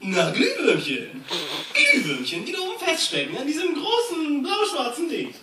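A man's voice: very high voice, wildly swooping pitch, moderate pace, exaggerated voice. A man playing a cocky, wisecracking little meerkat in a live radio play, a mocking know-it-all. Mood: smug. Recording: echoey hall, distant handheld phone, noisy.

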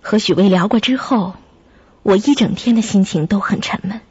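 A woman playing a sensible, caring young flatmate who narrates and acts in a radio drama, with a husky, breathy voice. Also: mid-range voice, moderate pace, dry recording, lo-fi sound. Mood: uneasy narration.

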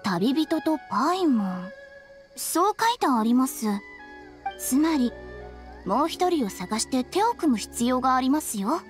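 A woman's voice: sits high, sing-song, a little slow, faint music behind. A woman voicing a cryptic young shrine maiden in a video game, polite, mysterious and playfully ominous. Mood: polite, instructive. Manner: polite, mysterious, theatrically foreboding. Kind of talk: Japanese video game voice acting.

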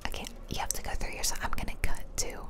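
A woman giving casual, chatty ASMR commentary over video game play, rambling along as she goes. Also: quick pace. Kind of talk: whispered ASMR gameplay commentary.

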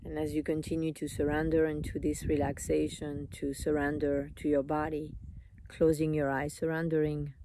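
A meditation teacher leading a live guided meditation in an unhurried, soothing manner, in short phrases with long pauses. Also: medium pitch, monotone, gravelly, warm tone, a little hiss or hum.

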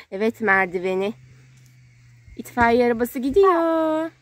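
High-pitched woman in slow, sing-song child talk. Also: expressive. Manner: sing-song, simple repeated phrases and questions. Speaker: parent playing with a young child on a kids' channel. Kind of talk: playful narration of toy play for a small child. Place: outdoors at a sand and gravel pile.

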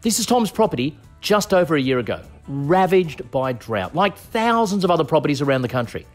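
Animated Australian man hosting a travel show. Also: a little high, brisk, faint music behind. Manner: jokey, playful. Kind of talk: scripted voice-over narration and casual chat.

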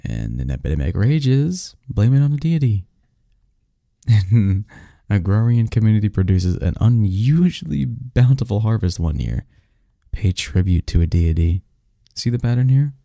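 Man playing low, mellow, snarky young academic. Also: big pitch swings, creaky, no echo, studio quality. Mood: wry, lecturing. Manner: wry, halting and chuckling, drifts into bookish lecturing. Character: snarky, cynical male research assistant in classics, nerdy, fond. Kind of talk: intimate ASMR audio roleplay.

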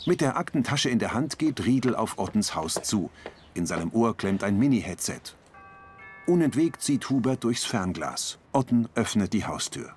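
A man's voice: on the low side, big pitch swings, normal speed, a little mellow, a little hiss or hum. A man reading scripted audio description of a television drama as its narrator.

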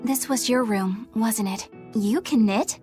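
A woman voicing a gentle, shy little boy in a video game, soft and earnest. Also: fairly high pitch, slow. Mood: gentle, regretful. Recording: game audio with music behind.

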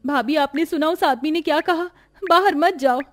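Woman playing young anxious girl, plaintive, high-pitched, poor, cartoon drama. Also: normal speed, soft background music, natural voice. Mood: worried.

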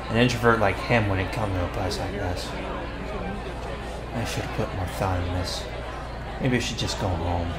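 A man playing a bossy teenage school bully with a hidden soft side, in an ASMR roleplay, brusque and given to muttering. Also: on the low side. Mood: dejected, annoyed. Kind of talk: ASMR boyfriend roleplay acting. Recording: clear background ambience noise.

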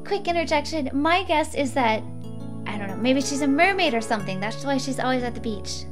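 Female game player, casual commentary, high animated voice over clear background music. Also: brisk. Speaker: video game player and narrator.

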